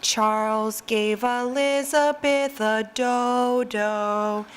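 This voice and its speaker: A brazen flirty librarian. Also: female voice, on the high side, steady tone, clear, resonant tone. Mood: flirtatious. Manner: sly, suggestive, quick-talking. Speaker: stage actor in a live radio play.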